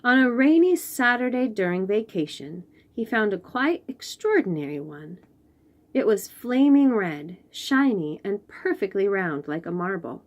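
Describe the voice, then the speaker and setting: A woman storyteller reading picture books aloud to young children, gently and with wonder, pausing to chat to the listeners. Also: mid-range voice, very animated. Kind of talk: expressive picture-book read-aloud with asides to young listeners.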